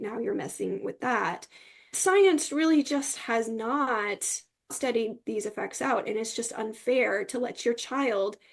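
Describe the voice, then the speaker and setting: High-pitched young woman with creaky voice. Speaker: podcast guest sharing personal story. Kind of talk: earnest personal testimony in conversation.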